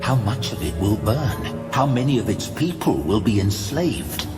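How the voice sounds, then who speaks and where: An actor playing a sly, defiant orc warlock in a fantasy audio drama, gravelly, measured and mocking. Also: male voice, medium pitch, expressive, music in the background, lightly acted. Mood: dark ambition.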